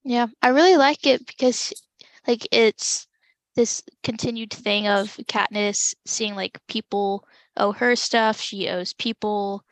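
Creaky-voiced woman podcaster, casual. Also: a little high, fairly even intonation, slow, no room sound, rough recording. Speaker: podcast co-host. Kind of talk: casual book discussion chat.

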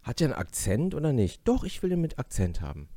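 A man who works as an actor, chatting casually on a podcast in an animated, talkative way full of fillers and self-mockery. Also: mid-range voice, fast, no room sound. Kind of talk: casual chat.

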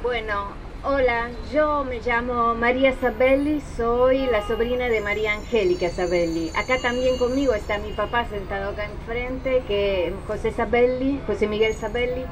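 A woman's voice: fairly high pitch, moderate pace, few pauses, a crowd in the background, noisy background. A woman who grew up in Italy, speaking Spanish with an Italian-tinged accent, giving a hesitant speech full of fillers. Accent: Italian-tinged Argentine Spanish. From Argentina, raised in Italy. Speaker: relative of a victim giving a speech. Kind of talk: public speech.

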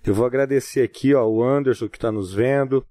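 A man's voice: medium pitch, a little fast, warm tone, no room sound. A man with a Brazilian accent casually interviewing a guest as a podcast host.

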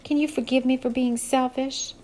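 Woman voicing a young woman in love, pitched much higher, eager and stammering. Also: fairly even intonation, moderate pace, a little mellow, lightly acted. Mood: apologetic. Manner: breathless, stammering.

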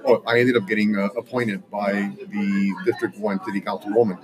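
A middle-aged man from Los Angeles answering interview questions casually, in a halting, informal, rambling way. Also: on the low side, level tone, over music. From Los Angeles area, United States. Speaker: interview guest, realtor. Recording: loud crowd chatter behind.

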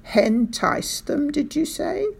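A woman's voice: fairly high pitch, creaky, dark tone, slightly rough, pitched above the performer's own voice, exaggerated voice. A woman voicing a pompous, officious village police sergeant who puts h's in front of words. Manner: slow, deliberate, officious.

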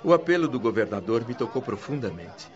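An actor playing an earnest, slightly hesitant newcomer eager to volunteer in a radio drama. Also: male voice, medium pitch, sing-song, a little fast, muffled recording, some chatter behind, lightly acted.

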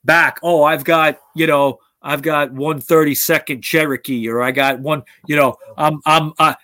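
A man's voice: a little high, steady tone, normal speed, pushed and bright, no echo. A man hosting a talk show, speaking bluntly with frequent swearing and restarts. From United States. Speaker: podcast host. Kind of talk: opinionated casual talk.

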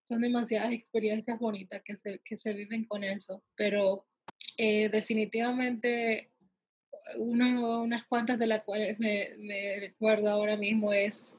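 A woman from the United States giving conversational interview answers, pausing often as she searches for words. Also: medium pitch, level tone, slightly rough, no room sound. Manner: halting, searching for words with drawn-out pauses. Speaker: interviewee, salon worker and empowerment advocate for girls.

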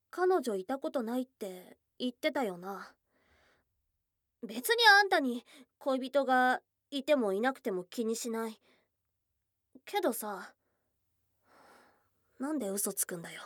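Woman playing a young goblin girl for asmr roleplay, high voice, blunt boyish talk, teasing n shy, super dry close mic. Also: fairly bright tone, no room sound, exaggerated voice. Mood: suspicious. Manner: blunt tomboyish speech, teasing and bashful. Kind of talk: intimate ASMR situation voice drama acting. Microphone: close dry studio microphone.

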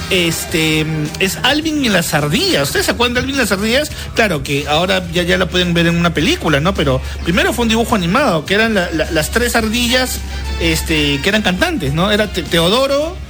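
A man with a high voice hosts a casual radio show. He chats loosely, circles back over his own words and tacks questions onto his phrases, with music clearly playing underneath. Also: animated, a little fast. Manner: chatty, repeats himself, tags phrases with questions. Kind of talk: casual chat. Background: music bed.

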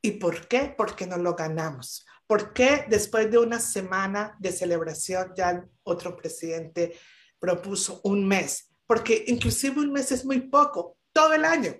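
An earnest woman speaking as a podcast guest over a video call. Her voice sits at a middling pitch and she presses her points with emphasis, pausing often on fillers. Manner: earnest, emphatic, many hesitations. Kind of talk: motivational talk on a podcast panel.

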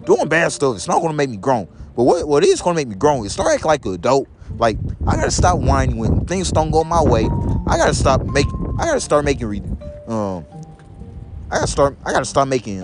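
A young St. man talks straight to his viewers in a loose, rambling way, looping back over the same phrase again and again. His pitch jumps all over the place, climbing high and then dropping low. Music plays clearly behind him. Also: sing-song, brisk. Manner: repeats each phrase several times, keeps addressing viewers as "guys". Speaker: vlogger. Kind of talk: rambling casual monologue to viewers. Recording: music playing in the background.

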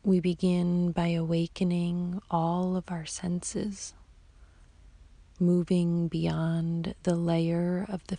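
A woman guiding a sleep meditation as a yoga nidra teacher, in a soft, whispery, soothing voice with long, unhurried pauses. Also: on the low side, creaky, no echo. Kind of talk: slow guided sleep meditation.

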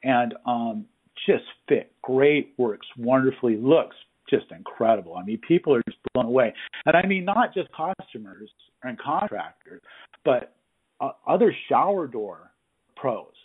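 A husky-voiced man chats as an interviewer through tinny, phone-like audio. His delivery is informal and effusive, coming in halting phrases, at a middling pitch. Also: lively intonation. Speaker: interviewer, hands-on tradesman. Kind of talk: casual interview chat. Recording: husky-sounding, thin phone-line audio.

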